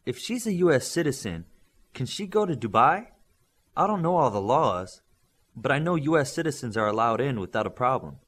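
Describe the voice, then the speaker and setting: An upbeat teenage leader who plans things out with eager energy, as a male reader voices him. His delivery lifts a little higher and louder than the narration, with lively pitch. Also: normal speed. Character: teen boy, eager peacemaking team leader.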